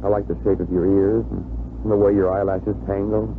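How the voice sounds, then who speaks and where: A man's voice: on the low side, level tone, fairly quick, lightly acted. An American man playing a confident, wry, teasing young fiancé in 1950s radio drama style. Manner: wry, bantering. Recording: muffled vintage radio recording, faint hiss.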